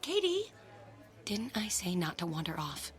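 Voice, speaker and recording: A woman's voice: fairly low pitch, huge pitch swings, measured pace. An actress playing a strict, wary, exasperated mother in a radio drama, clipped and scolding.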